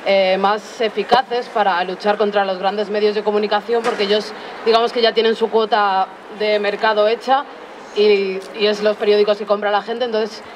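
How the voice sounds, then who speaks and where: Rich: A young adult speaks at an outdoor assembly, explaining their points at a measured pace. They often pause on filler sounds. Their voice sits mid-range, and there is a faint hum of city noise around them. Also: medium pitch, flat delivery, poor audio. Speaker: audience member at a public assembly. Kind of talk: spontaneous public debate contribution.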